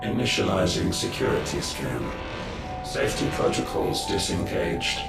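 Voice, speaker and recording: An actor playing a cold ancient security machine in a video game, making formal announcements. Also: male voice, low voice, lively intonation, moderate pace, gravelly, exaggerated voice. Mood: impassive. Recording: loud music behind.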